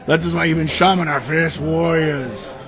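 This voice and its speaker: A man's voice: fairly high pitch, fairly even intonation, moderate pace, natural voice. An actor playing a fierce, boastful shaman warrior who speaks in terse, broken, emphatic phrases in a comic fantasy audio drama. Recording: music playing behind the voice.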